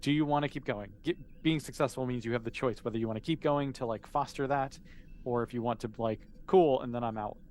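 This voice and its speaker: A man's voice: mid-range voice, brisk, a little mellow, slight background noise. A man casually narrating a tabletop game as game master, explaining in an easygoing, conversational way.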